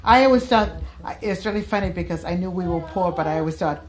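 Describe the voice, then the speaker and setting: A woman's voice: fairly low pitch, quick pace. An older woman recalling family history in a reflective, restarting way. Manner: reflective, thinks aloud with restarts. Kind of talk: casual reminiscing. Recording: home video with crowd chatter.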